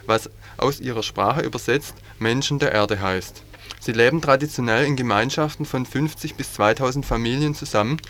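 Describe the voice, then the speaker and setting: A man with an ordinary mid-range voice reads the news from a script. His delivery is earnest and steady, like a bulletin on 1980s community radio. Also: medium pitch. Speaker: news reader.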